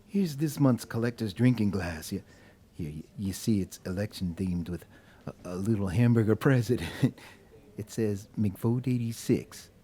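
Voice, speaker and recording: An actor playing a nervous, apologetic fast-food manager in an audio drama, stammering, halting and eager to please. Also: male voice, lowish voice, lively intonation, mellow, slightly rough. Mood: nervous, placating.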